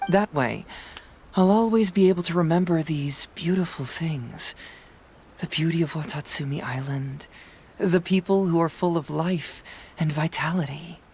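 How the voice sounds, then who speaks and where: A woman playing an easygoing, inquisitive young detective in a video game, musing theatrically and speaking with smooth persuasion over music. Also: low voice, unhurried, darkish tone, slight background noise. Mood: wistful, tender. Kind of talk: scripted video game dialogue. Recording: music behind the voice.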